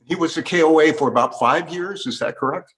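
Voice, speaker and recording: A man's voice: a little high, brisk, a bit bright. A man hosting a panel interview, courteously prompting guests with questions. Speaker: interview host.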